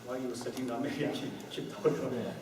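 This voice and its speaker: A middle-aged man giving a conference talk, halting, with many fillers and restarts. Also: medium pitch, fairly even intonation. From Taiwan. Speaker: company founder giving a talk. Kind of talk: conference talk to a small audience. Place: hotel meeting room, indoors. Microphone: far camera, no handheld mic. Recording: echoey room from far off, noisy.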